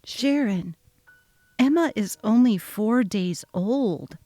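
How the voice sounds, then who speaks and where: A woman's voice: mid-range voice, lively intonation, slow, warm tone, no echo. A young woman voicing an exhausted new mother, speaking earnestly and pleading. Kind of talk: dramatic reading of a text-message story.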